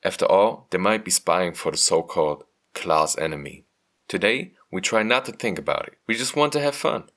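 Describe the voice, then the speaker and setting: A man reading a scripted first-person story as a motion comic narrator, measured and earnest. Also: low-pitched, animated, a bit bright.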